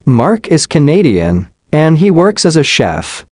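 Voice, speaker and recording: A man's voice: fairly high pitch, huge pitch swings, measured pace, a little mellow, no echo, clean studio sound. A man reading short sentences carefully for language learners. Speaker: English reader. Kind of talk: slow scripted reading for learners.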